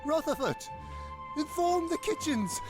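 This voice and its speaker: A man voicing a jovial, theatrical older uncle in a game fan dub, boisterous and quick to laugh. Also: very high-pitched, animated. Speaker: fan voice actor. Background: game score music.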